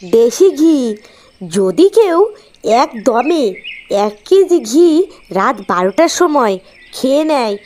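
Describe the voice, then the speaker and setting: Actress as very high-voiced, slow village wife. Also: female voice, heavily acted. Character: confiding, naive village wife sharing a secret. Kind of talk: Bengali cartoon voice acting.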